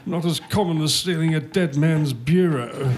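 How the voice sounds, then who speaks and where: An actor playing a henpecked, flustered husband who stammers and repeats himself in a live radio play. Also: male voice, on the high side, normal speed, noisy background, close to an everyday voice.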